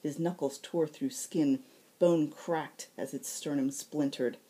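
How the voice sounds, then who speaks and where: A woman narrates a novel in audiobook style. Her voice is very low for a woman and has a dark, mellow tone as she reads the scripted prose. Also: steady tone, slow pace. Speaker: author reading own novel.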